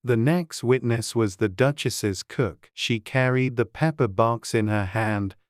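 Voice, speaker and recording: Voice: medium pitch, a little slow, no room sound, clean studio sound. An audiobook narrator reading a children's classic in a scripted storybook style.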